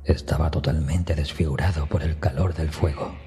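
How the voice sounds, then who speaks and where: A very low male voice tells a horror tale as a scripted first-person narration. The tone is dark and mellow, often sinking close to a hushed whisper. Faint music plays underneath. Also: fairly even intonation, moderate pace. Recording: music behind the voice. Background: background music.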